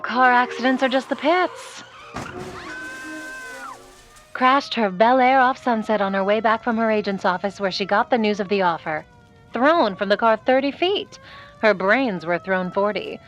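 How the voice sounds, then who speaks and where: An actress playing an ambitious, sardonic aspiring film star in a 1940s-style noir audio drama, dry and theatrical. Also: female voice, fairly high pitch, normal speed, fairly bright tone, faint music behind, close to an everyday voice. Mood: callous, arch.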